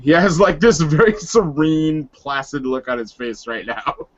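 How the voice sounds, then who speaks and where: A man casually improvising third-person narration as a tabletop game player, halting and full of filler words. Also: fairly high pitch, a crowd in the background. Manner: halting, peppered with "like". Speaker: role-playing game player. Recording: slightly muffled lo-fi audio.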